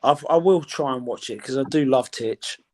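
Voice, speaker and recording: A man's voice: medium pitch, moderate pace, a little hiss or hum. A man, hosting a casual group chat over a video call, chatty and self-deprecating. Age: 30s. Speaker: host.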